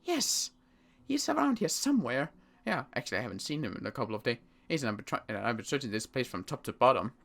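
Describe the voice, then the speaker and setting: A player acting as a wary ex-military sniper in a zombie game roleplay. The voice is bright and forceful, swinging up and down in pitch as the character grumbles. Delivery is halting and exasperated, with little trust for anyone. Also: very animated, vocal fry, exaggerated voice. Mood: frustrated. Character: gruff, distrustful ex-military sniper, easily frustrated. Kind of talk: improvised Minecraft zombie roleplay.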